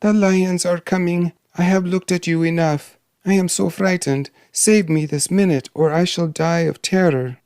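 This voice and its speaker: Vain young fairy tale princess, acted by a male reader: high, plaintive, proud delivery, dry close sound. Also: moderate pace, darkish tone, no echo, lightly acted. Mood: frightened. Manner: plaintive, haughty. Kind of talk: fairy tale storybook reading.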